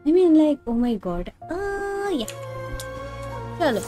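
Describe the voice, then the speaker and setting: Playful indian girl streaming a game, really high swoopy voice, music behind her. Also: very animated, slow pace. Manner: playful, giggly, repeats phrases. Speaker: game livestreamer. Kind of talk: casual livestream chat while gaming.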